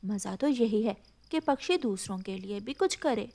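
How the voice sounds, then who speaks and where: A kind female nightingale healer from a cartoon, played by a woman. She has a mellow, mid-pitched voice and a calm, earnest manner. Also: moderate pace, gravelly.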